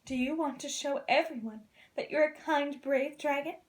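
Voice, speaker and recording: A woman voicing a kind, caring young princess in a children's storybook, gentle, earnest and expressive. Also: sits high, moderate pace, natural voice. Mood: urgent, encouraging.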